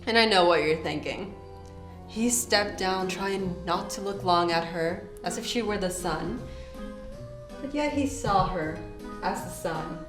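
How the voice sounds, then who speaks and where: A woman acting a pretentious, self-absorbed writer giving a lofty, condescending monologue in a measured, theatrical way. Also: fairly low pitch, roomy sound, close to an everyday voice. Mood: lofty, dramatic. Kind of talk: dramatic monologue to an audience. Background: music.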